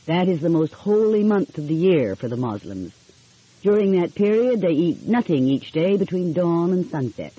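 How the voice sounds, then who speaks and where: A woman voicing a kindly, measured middle-aged lawyer father in an audiobook mystery. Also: fairly low pitch, big pitch swings, warm tone, breathy edge, dry recording, dull audio. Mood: explaining.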